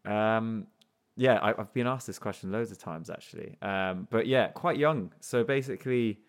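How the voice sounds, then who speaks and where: Deep-voiced, easygoing man. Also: moderate pace. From grew up in Portugal, then England. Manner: reflective, loose and easygoing, with frequent restarts. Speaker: artist guest. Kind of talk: casual conversational interview.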